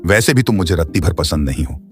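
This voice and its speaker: A man voicing a harsh, dismissive husband in an animated story. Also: low-pitched, quick pace. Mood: scornful. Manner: harsh, scornful. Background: background music.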